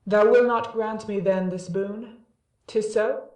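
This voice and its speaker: Slow, lofty god of light, acted by a man. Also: high voice, steady tone, darkish tone. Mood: pleading. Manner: lofty, measured, archaic. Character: Apollo, proud god of light pleading for mercy. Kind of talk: verse stage drama, declamatory. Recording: echoey room, distant from mic.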